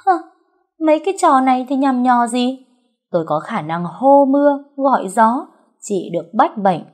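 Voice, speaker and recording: A woman's voice: on the low side, animated, normal speed, a little mellow, husky. A woman voicing a brash, cheeky young female fortune-teller who talks boastfully and colloquially in an audiobook story.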